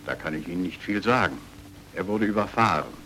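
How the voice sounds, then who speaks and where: An actor playing a curt, evasive and formal doctor in a German radio crime drama. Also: male voice, sits low, a little fast, pushed and bright. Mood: curt, guarded. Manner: clipped, reserved, evasive. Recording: old radio recording with background noise.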